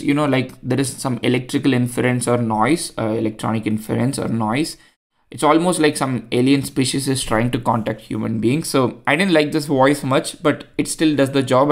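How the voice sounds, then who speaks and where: Indian-accented young man, casual screen-tutorial narration, even middle pitch. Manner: chatty, many fillers and restarts. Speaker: tutorial host. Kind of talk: screen-recorded coding tutorial.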